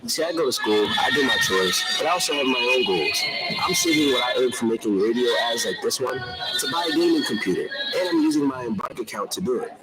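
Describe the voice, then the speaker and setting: Man as self-assured student, animated radio ad. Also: mid-range voice, fairly quick, lightly acted, low-quality recording. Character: self-assured young student saving up money. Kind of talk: scripted first-person radio ad. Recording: radio ad with loud music.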